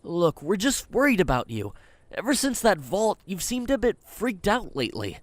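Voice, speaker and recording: A man's voice: sits high, huge pitch swings, normal speed, no room sound. A man playing a cocky young wasteland companion who talks earnestly and speaks his mind. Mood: concerned.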